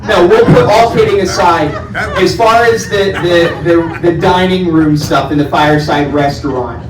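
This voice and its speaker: Loud-voiced man hosting a town hall. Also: sits high, normal speed. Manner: friendly, joking with residents by name. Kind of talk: casual town hall talk. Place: large meeting room indoors. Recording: echoey room, distant mic.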